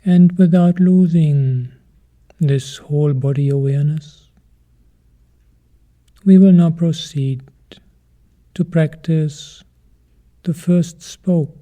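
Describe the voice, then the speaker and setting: A man leading a guided meditation as a teacher, calm and measured, in short phrases with pauses between them. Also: mid-range voice.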